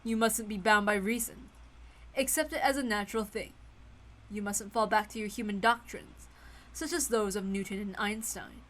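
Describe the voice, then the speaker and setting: An elegant witch played by a woman reader gives lessons in magic. She speaks in a measured, lofty way, pitched down from a bright narrating voice, in storybook style. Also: fairly even intonation, lightly acted. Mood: lofty, teasing. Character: elegant, instructive female witch teaching magic.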